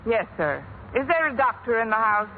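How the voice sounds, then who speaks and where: An actress playing a witty schoolteacher in a 1940s radio comedy, delivering dry, sardonic wisecracks. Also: female voice, on the high side, big pitch swings, fairly quick. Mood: wry. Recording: tinny old radio broadcast with loud hiss.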